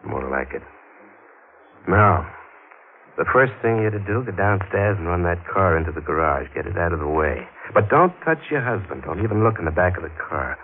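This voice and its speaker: An actor playing a menacing, taunting fugitive gunman in 1940s radio thriller style, with mock-courteous threats and drawn-out pauses. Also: deep voice, animated. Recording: noisy, tinny old-time radio recording.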